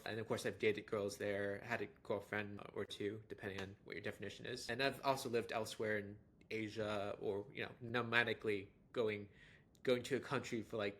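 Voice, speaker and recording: A man's voice: medium pitch, a bit bright. A young adult man with a General American accent, talking casually to camera and rambling through fillers and restarts. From United States. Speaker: dating vlogger. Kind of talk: casual talking-head monologue.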